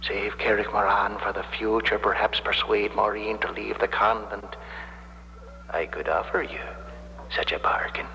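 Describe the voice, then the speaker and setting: Sly bitter ghost, deep gravelly voice, breathy, insinuating, long reverb, vintage radio drama. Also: male voice, little pitch movement, sharp tone. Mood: coaxing. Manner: slow, insinuating, deliberate. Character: ghost of a slain Irish man, sly, bitter, tempting. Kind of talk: 1970s radio drama acting. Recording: long hollow reverb, hissy old radio broadcast.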